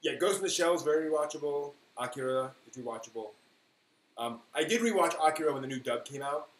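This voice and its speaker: A man hosting a live stream in casual, rambling chat, thinking aloud haltingly and leaning on key words. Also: mid-range voice, fairly bright tone. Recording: echoey room with faint noise.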